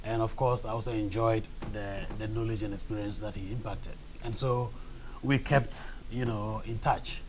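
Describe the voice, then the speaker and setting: A young man from northern Ghana with a Ghanaian accent, a documentary filmmaker answering interview questions in a measured, hesitant way full of fillers. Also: on the low side, steady tone, a little hiss or hum, rough recording. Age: young adult. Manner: measured, hesitant, many fillers and a soft laugh. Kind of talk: interview conversation.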